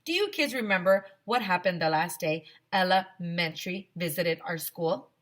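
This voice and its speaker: A woman's voice: lowish voice, normal speed, fairly bright tone, lightly acted. A woman voicing a proud male school principal making emphatic announcements in a storybook.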